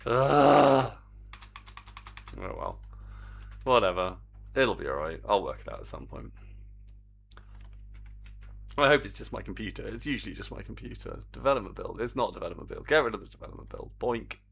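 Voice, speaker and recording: A man's voice: lowish voice, animated, normal speed, gravelly, pauses often, a bit bright, slightly rough. A man streaming his game development work, chatting to viewers in a rambling, self-mocking way. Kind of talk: casual live-stream commentary while coding.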